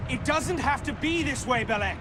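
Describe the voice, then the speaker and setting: An actor playing an earnest, defiant young assassin, terse and sardonic, in a video game cutscene. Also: male voice, extremely high pitch, expressive, moderate pace, over music. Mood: pleading, urgent.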